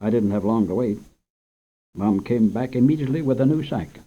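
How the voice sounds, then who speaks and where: A man's voice: medium pitch, animated, fairly quick, mellow, breathy edge. Man reading a story on old radio. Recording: dull lo-fi old radio recording.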